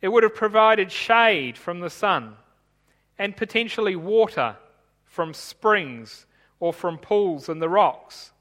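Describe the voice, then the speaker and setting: A man who grew up in Tanzania, preaching a sermon in a measured, explanatory way while reading from his notes. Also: high voice, animated, fairly bright tone, in a large hall.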